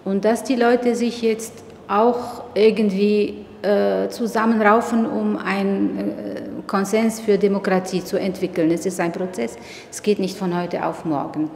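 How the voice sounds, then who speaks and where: Halting woman in an echoey hall. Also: medium pitch, steady tone, gravelly, a little mellow. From Tunisia. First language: Arabic. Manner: thoughtful, halting with frequent hesitations. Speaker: writer, interviewee. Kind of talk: reflective interview talk. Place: exhibition opening venue. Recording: echoey hall with background noise.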